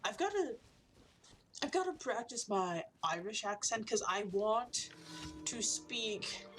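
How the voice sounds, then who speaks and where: Slow-talking woman streamer rambling over game music. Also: medium pitch, lively intonation, fairly bright tone. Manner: rambling running commentary, jokey asides to chat. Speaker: streamer playing a video game. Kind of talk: casual live-stream chatter. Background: faint game music.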